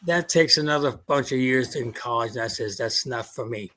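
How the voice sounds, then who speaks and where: A man's voice: medium pitch, moderate pace, bright, forceful tone. Elderly Queens-accented man reminiscing. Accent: New York, Queens. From Germany. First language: German. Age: 80s. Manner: reminiscing, quoting family dialogue with hesitations. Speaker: veteran guest. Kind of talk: casual interview storytelling.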